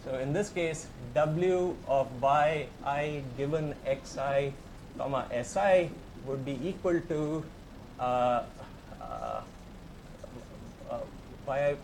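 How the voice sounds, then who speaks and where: A man's voice: medium pitch, very animated, slow, vocal fry, long pauses, darkish tone, a little hiss or hum, low-quality recording. A man with an Indian accent, a professor giving a technical tutorial, explaining step by step in a conversational way and inviting questions. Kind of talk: technical lecture.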